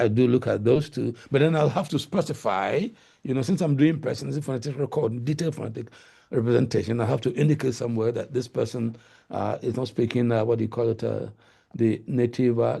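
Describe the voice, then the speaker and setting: A man's voice: medium pitch, creaky, warm tone, breathy edge. A middle-aged man with a Ghanaian accent, answering webinar questions over a video call, hesitant and full of fillers. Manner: hesitant, many fillers and restarts. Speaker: guest lecturer.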